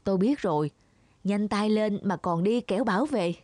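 A woman voicing a practical, anxious farming villager in a children's animated fable. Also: medium pitch, moderate pace, dry recording, natural voice. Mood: worried, urgent. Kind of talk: children's cartoon fable dubbing.

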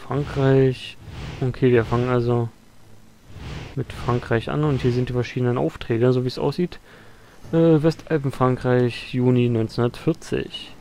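A man casually commenting as he starts a flight game, relaxed and a little irritated by the menus. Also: medium pitch, normal speed, a little hiss or hum. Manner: relaxed, mildly annoyed chatter. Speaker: let's play host. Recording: voice over loud game music.

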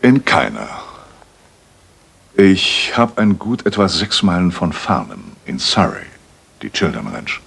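An actor playing a courteous, frank widowed gentleman landowner in a German radio play, speaking in a measured, matter-of-fact way. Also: sits low, sing-song, creaky, slightly rough, exaggerated voice. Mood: businesslike, frank.

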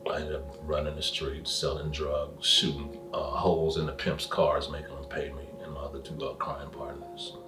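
A man's voice: a bass voice, little pitch movement, moderate pace, hardly any pauses, music in the background. A man speaking emphatically in a documentary interview, telling his story, recorded with a roomy, distant mic.

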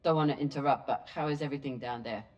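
An actor playing a steady, dry, matter-of-fact spaceship captain in a video game. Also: male voice, medium pitch, quick pace, dull audio, natural voice. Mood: casual.

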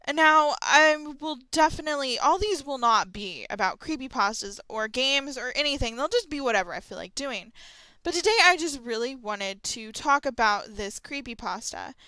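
A woman's voice: high-pitched, lively intonation, moderate pace, pushed and bright, no room sound. A young adult woman talking informally and a little tentatively, as in a casual solo audio log. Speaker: vlogger and host of an audio log. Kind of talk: casual rambling solo chat about horror stories.

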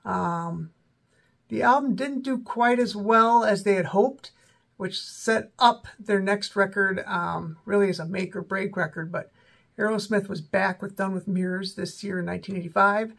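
A man in his forties with a cold and a slightly sore, raspy throat, casually talking through rock albums as host of his own channel, with many ums and self-corrections. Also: high voice, moderate pace, a bit bright. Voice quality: raspy, voice may crack. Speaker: rock music video host. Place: small indoor room with a backdrop.

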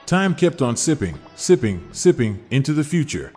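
A man's voice: medium pitch, big pitch swings, normal speed, mellow, soft background music. Man reading dad jokes in a roomy hall. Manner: upbeat joke delivery with chuckles at punchlines. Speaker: podcast host.

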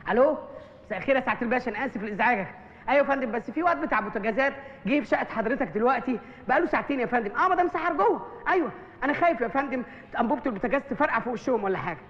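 An actor with an Egyptian Arabic accent playing a nosy, fast-talking doorman who later turns into a gruff policeman in a comedy film. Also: extremely high pitch, animated, muffled recording. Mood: sly. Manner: sly, teasing, then menacing and shouting.

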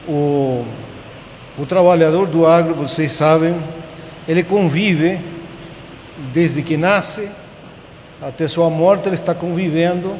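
A man in his sixties from Chile, a native Spanish speaker with a Chilean accent, gives an informal technical lecture in a halting manner, often checking in with tag questions. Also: a little high, a little mellow. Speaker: conference speaker. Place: large conference auditorium. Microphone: podium gooseneck microphone. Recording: echoey auditorium with clear background noise.

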